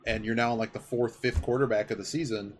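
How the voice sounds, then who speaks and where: A male podcast host talks sports in a casual, enthusiastic ramble. His voice sits at an average pitch for a man, with noticeable noise behind it. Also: steady tone, fairly quick. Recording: clearly audible background noise.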